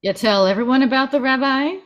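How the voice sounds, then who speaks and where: A woman's voice: sits high, a little fast, fairly bright tone, dull audio. A performer, heard as a woman, playing earnest, eager men in a scripted comedy table read.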